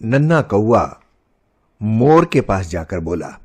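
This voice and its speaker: A male storyteller narrates a children's tale at a slow pace. His intonation rises and falls in a lively, expressive way with a teaching tone. Soft music plays behind him. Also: lowish voice, slightly rough.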